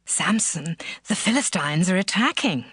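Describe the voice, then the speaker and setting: A woman's voice: on the low side, expressive, measured pace, a bit bright, slightly rough, dry recording, full character voice. An actress playing a scheming young woman in a dramatized scripture reading, coaxing and reproachful by turns. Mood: alarmed.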